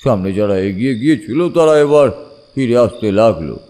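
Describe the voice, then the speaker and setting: A man performs an older village uncle telling an eerie tale of his youth in an audio drama. His mid-pitched voice swings widely and expressively. The tone is dark and mellow, with suspense in the telling. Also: animated, moderate pace, lightly acted. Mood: suspenseful. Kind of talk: dramatized horror narration.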